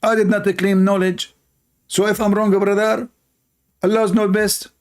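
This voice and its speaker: A man's voice: sits high, level tone, unhurried, voice pushed up. A man whose first language is Arabic, putting on the voice of an evasive male cleric in a mocking, sing-song way. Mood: whiny defensive.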